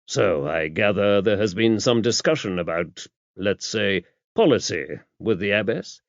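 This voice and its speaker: A man voicing a mild, courteous priest in an audiobook, measured and wry. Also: fairly low pitch, animated, dry recording. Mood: wry, probing. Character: mild, courteous male priest, a former spy, wryly inquisitive. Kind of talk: audiobook character dialogue. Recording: dull, muffled lo-fi audio.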